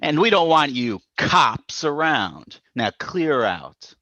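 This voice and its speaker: An actor playing a blustering, angry crooked stockbroker in a live old-time radio drama. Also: male voice, fairly high pitch, big pitch swings, slow, pushed and bright, no room sound, highs cut off, full character voice. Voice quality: blustering, tough-talking. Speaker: stage actor in radio play.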